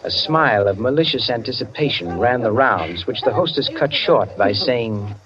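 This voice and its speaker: An actor playing a wry literary storyteller, narrating an old-time radio mystery in a measured, precise, dramatic way. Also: male voice, medium pitch, expressive, a crowd in the background, lightly acted. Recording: dull old radio, music underneath.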